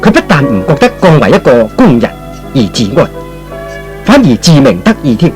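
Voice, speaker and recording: A man narrating a scripted radio serial in a dramatic, expressive old storytelling style. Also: medium pitch, moderate pace, faint music behind.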